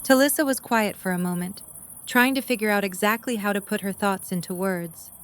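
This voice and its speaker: Woman narrator with a medium-pitched voice. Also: a little fast, a bit bright, clear, resonant tone. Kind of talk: scripted story narration.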